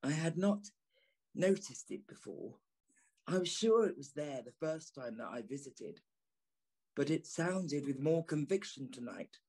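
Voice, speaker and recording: A man playing a pensive gentleman who narrates an eerie ghost tale in a measured, brooding, dramatic way. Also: a little high, lively intonation.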